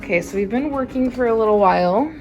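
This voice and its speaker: A woman's voice: fairly high pitch, quick pace, darkish tone, lo-fi sound, soft background music. Chatty midwestern woman vlogging. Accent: Midwestern American. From American Midwest. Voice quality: casual, chatty with frequent fillers and light laughs. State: slightly raspy morning voice. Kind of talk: casual vlog chat. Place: vacation rental house and car.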